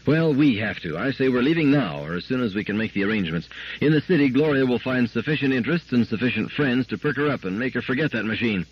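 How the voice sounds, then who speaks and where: A man reading a story aloud, voicing a firm, brisk mother who speaks in a clipped, grim way. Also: mid-range voice, lively intonation, muffled recording, slight background noise, voice pushed up, natural voice. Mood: stern. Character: stern, firm mother, middle-aged wife.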